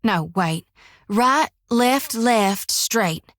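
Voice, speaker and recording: A woman's voice: fairly high pitch, lively intonation, extremely slow, fairly bright tone, dry recording, heavily acted. A plainspoken young priestess with a drawl for a video game, earnest with a wry edge. She drops "reckon" and "ain't" freely in a close, dry studio take. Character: folksy young woman priest carrying a soul lantern. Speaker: game companion character. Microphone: close studio mic.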